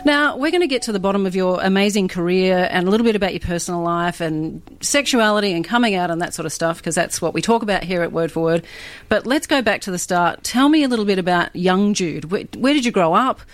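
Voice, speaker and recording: A woman hosting a radio interview show, reading a measured scripted introduction before chatting with her guest. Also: mid-range voice, expressive, no room sound. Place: radio studio.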